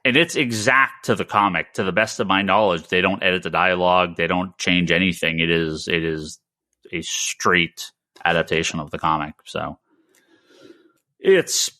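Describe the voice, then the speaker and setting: A man co-hosting a comics podcast, explaining things casually and emphatically with halting starts and repeated words. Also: low voice, fairly even intonation, sharp tone, no echo, studio quality.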